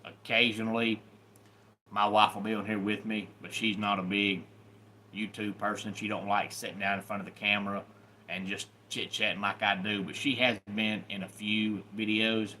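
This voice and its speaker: A man's voice: fairly low pitch, level tone, normal speed, pushed and bright, a little hiss or hum. A man with a Southern accent, hosting a live stream in a folksy, welcoming manner. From Southern United States. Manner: folksy and welcoming, greets viewers by name. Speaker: YouTube channel host. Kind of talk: casual live-stream chat.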